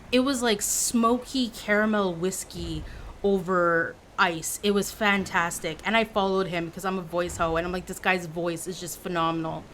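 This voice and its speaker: A woman in her thirties chatting with her stream, an animated storyteller at a middle pitch. There is a faint hiss of noise behind her voice. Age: 30s. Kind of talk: casual chat with live chat.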